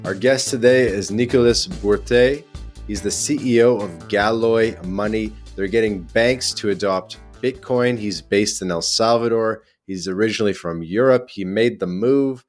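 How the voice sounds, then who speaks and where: A low-pitched man hosting an interview podcast with a casual, rambling manner. Faint music plays behind him. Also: moderate pace. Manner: chatty, loose, with fillers and restarts. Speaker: podcast host. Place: in Europe, remote.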